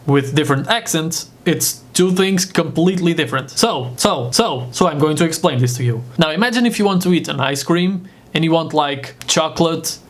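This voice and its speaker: A man's voice: fairly high pitch, moderate pace, few pauses. A man from Portugal with a Portuguese accent, a native Portuguese speaker, giving an informal vocabulary lesson in a casual, jokey manner. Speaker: host teaching vocabulary. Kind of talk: informal video language lesson.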